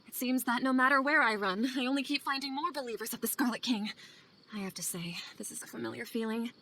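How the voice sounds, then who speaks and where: A woman's voice: a little high, fast talker, pushed and bright. An actress voicing a weary, anxious female scholar in video game dialogue, earnest, hesitant and confessional in manner. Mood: guilty, weary. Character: guilt-ridden female scholar, weary, anxious assistant to sage. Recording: game audio over clear ambient noise.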